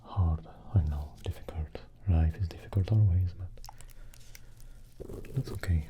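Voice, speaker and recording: A man hosting an ASMR channel, mostly in a soft unvoiced whisper that drifts near and far from the microphone, chatting slowly and haltingly to his viewers. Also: sits low, lo-fi sound, background noise. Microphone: close microphone.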